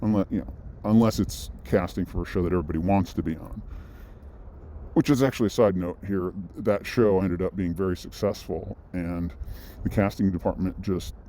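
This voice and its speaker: A man's voice: deep voice, steady tone, moderate pace, dark tone, a little hiss or hum. A man vlogging, telling a personal story in a loose, rambling way, full of restarts and side notes. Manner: rambling, with frequent restarts and asides. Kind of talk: casual storytelling monologue.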